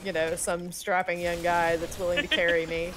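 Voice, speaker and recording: Low-pitched woman bantering, faint hiss. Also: level tone, moderate pace. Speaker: stream co-host. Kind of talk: casual chat.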